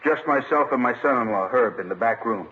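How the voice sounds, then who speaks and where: A man's voice: medium pitch, animated, a little fast, close to an everyday voice. An American man playing an earnest middle-aged shopkeeper giving a statement in an old radio drama on a muffled recording. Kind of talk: 1950s radio drama, plain testimony.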